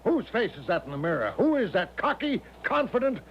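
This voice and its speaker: A high-pitched, breezy confidence-man major in an old radio play. He coaxes grandly and reassures with total self-assurance. The recording is dull, with steady noise behind it. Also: brisk. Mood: boastful. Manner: grandly self-assured, coaxing showman's patter. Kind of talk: 1970s radio drama acting.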